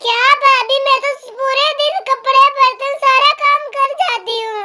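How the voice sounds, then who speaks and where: A woman's voice: very high-pitched, steady tone, normal speed, bright, forceful tone, exaggerated voice. A woman voicing a cheeky female house maid in a cartoon, who talks back to her employer. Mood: cheeky, defensive.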